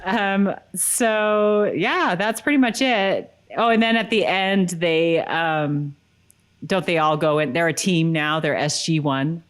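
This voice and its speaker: A woman's voice: on the low side, slow. A woman co-hosting a podcast in casual, informal chat, chiming in agreeably. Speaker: podcast co-host. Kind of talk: casual chat about a TV episode.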